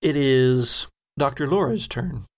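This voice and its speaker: A man acts as game master for a tabletop game. His mid-pitched voice moves between vivid scene description and practical questions to his players. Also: takes it slowly. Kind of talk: tabletop game narration and rules talk.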